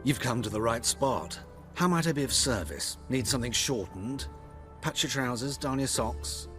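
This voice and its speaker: An actor playing a flamboyant, witty cross-dressing tailor in a fantasy video game, with a theatrical, coy delivery and drawn-out phrasing. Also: male voice, mid-range voice, animated, fairly bright tone, soft background music, natural voice. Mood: obliging. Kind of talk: video game dialogue acting.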